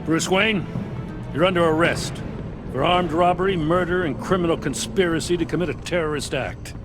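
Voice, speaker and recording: Stern, higher-pitched police lawman played by an actor. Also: moderate pace, lightly acted. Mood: stern, official. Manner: formal, commanding. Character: stern, official male police arresting officer. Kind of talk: dramatic video game voice acting. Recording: music behind the voice.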